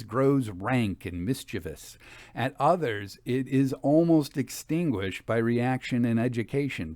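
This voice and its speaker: Expressive male storyteller, close dry sound. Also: medium pitch, no echo. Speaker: host and reader of a ghost story podcast. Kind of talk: literary reading and informal scripted commentary.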